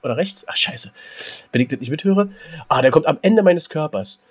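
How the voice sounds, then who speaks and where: A man's voice: on the high side, animated, normal speed, breathy edge. A man co-hosting a casual podcast, joking around and breaking into sung snippets. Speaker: podcast co-host. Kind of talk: casual chat.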